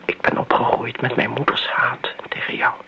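A woman's voice: extremely low pitch, little pitch movement, normal speed, creaky, sharp tone, in a whisper, heavily acted. An actress playing a bitter, middle-aged daughter who defends her mother in a radio play, pointed and reproachful in manner. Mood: accusing, defensive. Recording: muffled old radio recording, background hiss.

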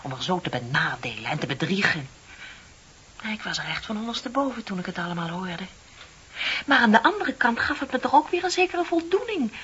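A woman's voice: mid-range voice, very animated, brisk, a bit bright. Radio drama, a lonely, needling middle-aged working woman; confiding, sardonic, on dull old tape with faint hiss. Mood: mock sympathy. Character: bitter, lonely middle-aged female office worker, needling a colleague. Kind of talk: Dutch radio play acting.

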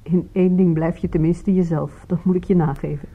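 Husky, composed detective's companion, muffled recording. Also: female voice, lowish voice, fairly even intonation, a little fast. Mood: gently teasing. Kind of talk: radio drama acting.

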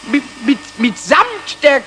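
A man voicing a cheeky, childlike little kobold in a 1970s children's radio play, squeaky and stammering in excited repetitions. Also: slow pace, exaggerated voice. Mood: tipsy, giddy. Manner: stammering, repeats words excitedly.

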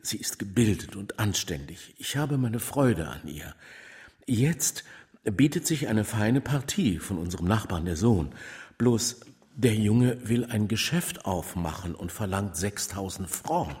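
A deferential working father in his fifties in a radio drama. His voice sits fairly low. He speaks haltingly and earnestly, with timid gratitude. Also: expressive, a little mellow. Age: 50s. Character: humble, deferential working man of fifty, proud father. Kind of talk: German radio drama acting.

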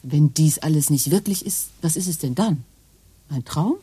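A woman's voice: low-pitched, expressive, moderate pace, mellow, breathy edge, no echo, full character voice. An actress playing Liz, a practical married woman in a German radio play who doubts her partner's ideas. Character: down-to-earth skeptical housewife, married woman.

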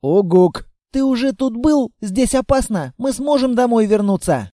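A man voicing a chatty little girl, a child of darkness, who pleads and chatters without pause. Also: very high-pitched, animated, warm tone, dry recording, clean studio sound, pitched above the performer's own voice.

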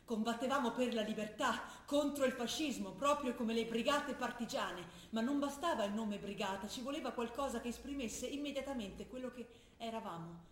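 A woman acting a young revolutionary who writes earnest letters to her father, speaking intensely and sometimes dropping to a hush, in a roomy stage recording. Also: fairly high pitch, moderate pace, a little hiss or hum, natural voice. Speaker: stage actor. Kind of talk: theatre drama broadcast on radio.

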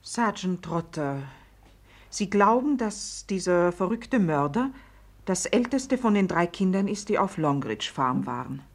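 An actress playing an anxious, protective wife in a 1950s radio play, earnest and insistent. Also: female voice, on the low side, expressive, unhurried. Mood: worried, insistent.

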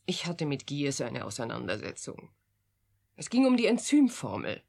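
Eine Schauspielerin spielt in einem deutschen Hörspiel eine stolze, besorgte und entschlossene Wissenschaftlerin, ernst und mit Autorität. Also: female voice, extremely low pitch, wildly swooping pitch, normal speed, vocal fry, darkish tone, slightly rough, exaggerated voice. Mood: earnest, troubled. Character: proud, troubled, resolute female scientist. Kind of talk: German radio drama acting.

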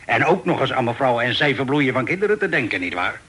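A man's voice: mid-range voice, sing-song, fairly bright tone, slight background noise. An actor in a Dutch radio drama playing a captive sea captain, a pious, wry and stubborn family man who talks in a folksy way. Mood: gentle, resigned. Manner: folksy, wry, unhurried storytelling.

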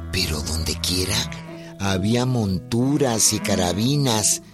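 A man narrating a biographical radio drama as a grave storyteller, reading from a script. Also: lowish voice. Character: grave storyteller chronicling a revolutionary's life. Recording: music behind the voice.

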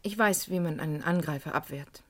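Cool, low-voiced young student. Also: female voice, fairly quick. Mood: self-assured. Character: young female student cloakroom girl, self-assured, clever. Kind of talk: German radio crime play acting.

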